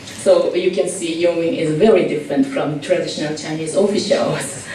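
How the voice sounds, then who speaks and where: A woman's voice: deep voice, fairly even intonation, normal speed, mellow, a little hiss or hum. A woman from Japan with a Japanese accent, giving an academic conference talk in English with frequent ums and uhs. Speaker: conference presenter. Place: conference room. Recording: echoey hall, mic at a distance.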